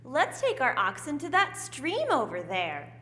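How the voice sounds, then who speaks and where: Cheeky little scout kid, bright voice, echoey room, stagey pageant lines, audio drama. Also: female voice, high-pitched, huge pitch swings, unhurried, full character voice. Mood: stagey recital. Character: cheeky young child scout acting in pageant. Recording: echoey hall, distant.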